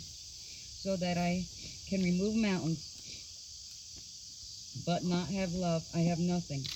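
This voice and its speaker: A woman, an aunt and godmother, giving a measured, solemn scripture reading at a wedding. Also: fairly low pitch, noisy background. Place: outdoor wedding. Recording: loud outdoor crickets behind.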